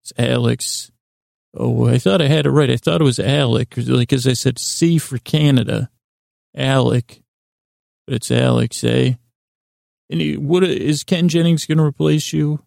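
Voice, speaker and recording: A man hosting a bedtime podcast in a rambling monologue, halting and often interrupting himself as he wanders off on tangents. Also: mid-range voice, fairly even intonation, creaky, darkish tone, no echo, studio quality. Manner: halting, digressive, mumbly self-interruptions. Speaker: podcast host.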